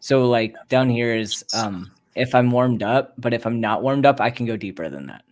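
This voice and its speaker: A man with a mid-pitched voice speaks in a casual group conversation. He explains things earnestly and informally, often doubling back on his phrases. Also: moderate pace.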